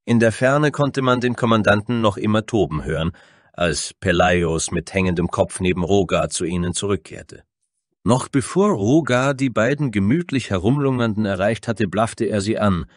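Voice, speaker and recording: A man's voice: on the low side, normal speed, no room sound, studio quality. A man narrating a scripted fantasy story in a dramatic storytelling style.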